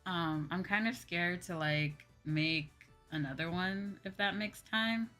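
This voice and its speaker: A woman's voice: lowish voice, slow pace, pushed and bright. A woman hosting a livestream, chatting casually in a hesitant way and often repeating her phrases. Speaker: livestream host. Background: background music.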